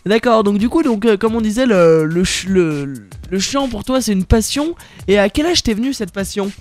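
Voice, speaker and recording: A man's voice: sits high, expressive, normal speed, soft background music. A young man hosting a radio interview, talking casually with many fillers and restarts. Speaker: radio host.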